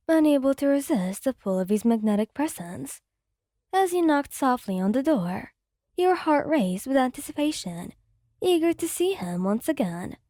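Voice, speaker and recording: Mellow, soft-spoken woman narrator. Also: high voice, no echo, studio quality. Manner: soft, gentle storytelling. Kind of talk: ASMR fanfic reading.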